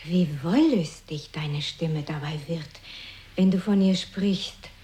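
A woman's voice: on the low side, slow, warm tone. An actress in an experimental radio play, playing a coaxing, teasing woman who begs her companion to stay.

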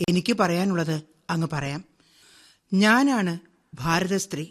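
A woman's voice: fairly low pitch, lively intonation, slow pace, slightly rough, full character voice. An actress playing a proud woman who speaks for all Indian women, greeting listeners directly and playfully. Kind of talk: radio drama monologue.

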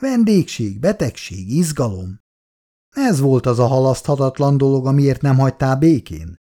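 A man's voice: medium pitch, sing-song, mellow. A man voicing a worried, reproachful elderly wife who keeps nagging her sleepy husband.

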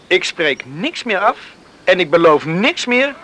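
Young rural fiancé, high bright voice, lively intonation, earnest, caring, radio drama. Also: male voice, measured pace, a little hiss or hum, heavily acted. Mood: earnest, firm. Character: eager, caring young countryman planning house and wedding. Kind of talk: Dutch radio drama acting.

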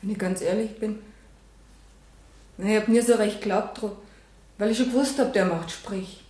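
A woman's voice: mid-range voice, fairly even intonation, moderate pace, mellow, natural voice. An actress playing a dismissive working-class Bavarian woman on the witness stand in a radio play, offhand and scornful. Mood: guarded, candid. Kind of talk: German radio play courtroom acting. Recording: echoey, distant radio drama studio.

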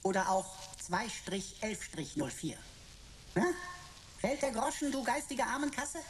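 Overwrought sarcastic computer, noisy background. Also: female voice, on the high side, expressive, a little slow. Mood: theatrical, triumphant. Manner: pompous, florid, archaic wording. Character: eccentric, chattering, sarcastic talking computer sidekick. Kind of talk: radio play acting.

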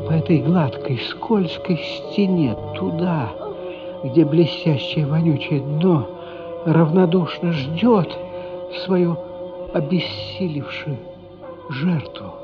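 An actor playing a grave storyteller, narrating a radio play with deliberate, theatrical, suspenseful phrasing. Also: male voice, fairly high pitch, music in the background. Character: grave, dramatic storyteller of a childhood tale. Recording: muffled radio drama recording.

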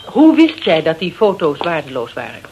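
A woman's voice: sits low, wildly swooping pitch, normal speed, lo-fi sound, slight background noise, full character voice. A woman playing a cool, tough private detective in a Dutch radio drama, terse and dryly probing, with a Netherlands accent. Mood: menacing. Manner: terse, dry, pointed questioning.

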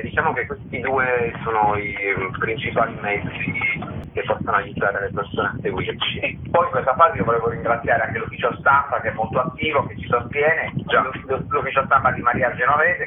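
A man giving a spontaneous interview as a theatre guest, speaking haltingly and emphatically, repeating words for stress. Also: mid-range voice. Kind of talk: spontaneous radio interview by phone. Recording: tinny phone line with clear noise.

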